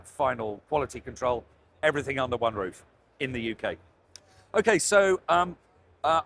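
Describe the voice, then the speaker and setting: A man's voice: medium pitch, a bit bright. British man presenting products over show-floor noise. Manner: effusive, with emphatic praise and repeated words. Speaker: company representative presenting products at a trade show. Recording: clear background noise of busy trade show. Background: trade show ambience noise.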